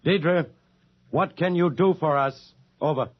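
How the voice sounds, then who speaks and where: A noisy, muffled old radio recording of an actor as a commanding spaceship captain. The man's voice is slightly high, urgent and authoritative, with the formal projection of 1950s science fiction drama. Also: unhurried, natural voice. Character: determined, commanding male spaceship captain under strain.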